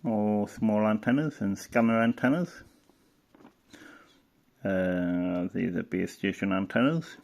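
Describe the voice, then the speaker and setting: A man with a fairly deep, very gravelly voice talks casually through material as he browses it. His delivery is unscripted and halting, sometimes muttered, with phrases trailing away. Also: a little mellow. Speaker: hobbyist presenter. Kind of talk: casual unscripted commentary while browsing a catalogue.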